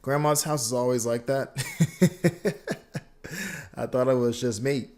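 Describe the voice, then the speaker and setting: A man's voice: medium pitch, steady tone, a little slow, darkish tone. Casual, slangy male reaction streamer. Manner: slangy, swearing, rambling storytelling. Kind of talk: casual reaction chat, reading viewer comments.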